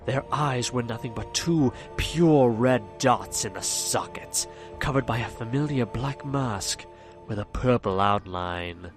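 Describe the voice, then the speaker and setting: A man's voice: medium pitch, unhurried, over music, close to an everyday voice. A man playing an ominous storyteller, reading scripted horror-tale narration to his audience.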